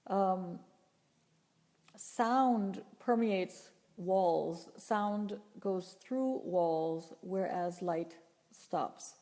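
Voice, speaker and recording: A woman voice teacher answering viewer questions live, talking in an unhurried, earnest way and often repeating or restarting her phrases. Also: mid-range voice, mellow, long reverb tail. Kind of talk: live Q&A talk, reading chat questions.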